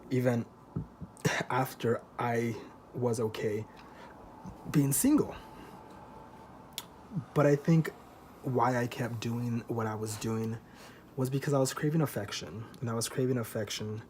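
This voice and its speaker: A man in his twenties speaks to camera in a loose, confessional ramble, open and a little self-conscious, with plenty of swearing. His voice sits at a middle pitch and he sounds a little tipsy. A faint hiss of noise runs under the recording. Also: a little mellow. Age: 20s. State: feels tipsy. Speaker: vlogger. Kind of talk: candid confessional vlog.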